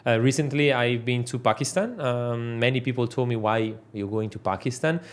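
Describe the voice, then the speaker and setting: A man's voice: mid-range voice, normal speed. Man, hesitant. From Switzerland. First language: Italian. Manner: hesitant, many fillers and restarts. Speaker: guest entrepreneur. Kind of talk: casual interview conversation.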